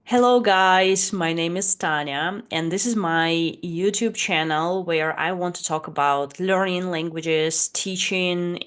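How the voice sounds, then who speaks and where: A Russian woman in her late twenties who speaks English with a Russian accent and whose native language is Russian, introducing her vlog as an English teacher in a slightly shy, hesitant way with frequent pauses. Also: fairly low pitch, smooth. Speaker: English teacher and channel host. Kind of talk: casual talking-head vlog introduction.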